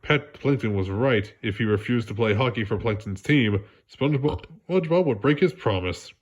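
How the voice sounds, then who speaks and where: A man's voice: fairly low pitch, animated, normal speed. A man narrating a storybook read-aloud with a congested, stuffy voice. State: congested, coughing.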